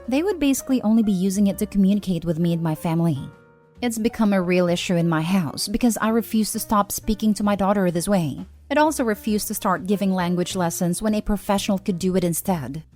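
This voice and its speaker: A woman's voice: mid-range voice, fast talker, a little mellow. Woman narrator reading posts aloud. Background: faint background music.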